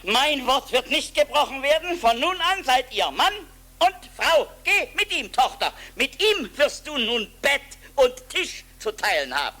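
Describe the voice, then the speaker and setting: A blustery fairy-tale king who makes solemn declarations and shouts for his guards. His voice is pitched very high and sounds bright and sharp, with lively pitch. He speaks slowly and grandly. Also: full character voice. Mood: commanding. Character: pompous old king, proud of his word. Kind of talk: fairy-tale radio play acting.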